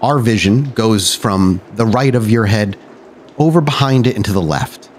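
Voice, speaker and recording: A man's voice: fairly low pitch, expressive, normal speed, slight background noise. A man working as a game master, narrating a tabletop roleplay podcast with dramatic, cinematic scene-setting.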